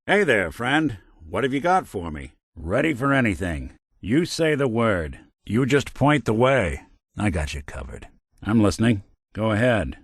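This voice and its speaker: An actor playing a weary, wry male synth detective in a game, with a dry, world-weary noir drawl that turns gruff in anger. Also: medium pitch, fairly bright tone, dry recording, heavily acted. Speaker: companion character. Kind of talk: game voice lines. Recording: dry studio recording.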